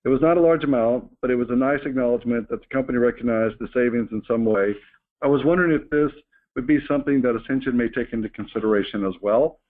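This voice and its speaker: A man's voice: medium pitch, fast talker. Man presenting over a narrow phone line. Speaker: company executive hosting a staff call. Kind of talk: informal business presentation, reading and answering staff questions. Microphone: conference call phone line.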